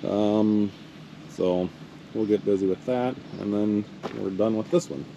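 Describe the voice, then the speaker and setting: Slow, low-voiced man over road noise. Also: highs cut off. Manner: relaxed, unhurried, many fillers. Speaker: towing business owner. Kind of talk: casual work vlog narration. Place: truck cab and outdoor work yard. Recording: noisy with vehicle and engine hum.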